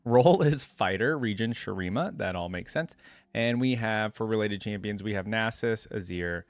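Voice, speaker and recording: Low-pitched man, casual reaction host. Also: normal speed, dry recording. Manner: conversational, thinking aloud. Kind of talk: casual reaction commentary mixed with reading lore aloud.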